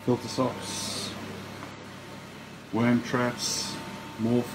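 A man's voice: mid-range voice, completely flat delivery, background noise. An Australian man, the owner, giving a casual walkthrough of his store and listing products one by one. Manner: slow, unhurried listing of items one by one. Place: indoor retail store.

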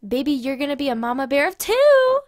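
A woman's voice: high voice, big pitch swings, fairly quick. A woman playing an upbeat, affectionate, peacekeeping young friend in an audio drama. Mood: caring, excited.

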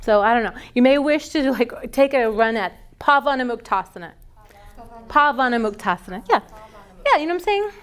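A middle-aged woman teaching a yoga teacher training class, lively and quizzing her students with questions. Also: a little high, brisk, clean tone. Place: large wooden-floored yoga studio. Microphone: far camera.

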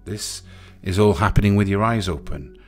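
A man narrating a guided sleep meditation in a soothing, gentle, unhurried way, leaving pauses between short phrases. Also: lowish voice. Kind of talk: guided sleep meditation, hypnotic relaxation countdown. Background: music.

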